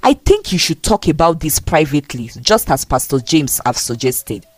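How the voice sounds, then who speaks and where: A woman narrator plays a calm middle-aged woman mentor in a folktale. The voice is low and persuasive, with lively stresses. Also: moderate pace, no echo. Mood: calm, urging.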